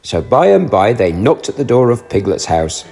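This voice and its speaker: A man reading a children's storybook aloud, narrating in an expressive, animated way. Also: lowish voice, normal speed, creaky, faint music behind.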